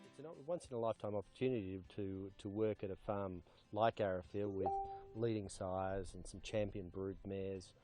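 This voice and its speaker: A man on the staff of a horse stud, explaining the trainee program in a measured way with some fillers. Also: low-pitched, steady tone, warm tone. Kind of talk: interview answers. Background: background music.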